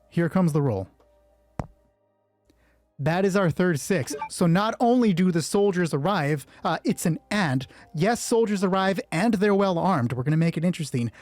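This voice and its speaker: Higher-voiced man narrating a solo roleplay game. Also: a little mellow, no echo. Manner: informal, swearing, thinking aloud during play. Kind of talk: hushed scripted story narration alternating with casual game commentary. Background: music.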